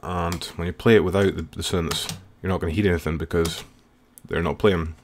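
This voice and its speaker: Male music producer, low register, informal and slightly hesitant, talking through a screen-recorded software tutorial. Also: fairly even intonation, fairly quick, a little hiss or hum. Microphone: voice-over recorded while working at computer.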